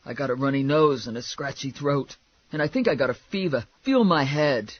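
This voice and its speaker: A man's voice: mid-range voice, expressive, moderate pace, husky, no echo, muffled recording. A man voicing a sick, miserable man who whines pitifully in an audiobook. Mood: whining, queasy. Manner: whining, pitiful.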